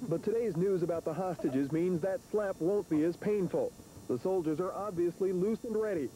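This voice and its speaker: A man's voice: normal speed, warm tone, poor audio. A man in his thirties reporting live for TV news with a handheld microphone, outdoors on location. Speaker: TV field reporter. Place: outdoors at an army base, on location. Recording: faint hiss and rumble, old TV broadcast.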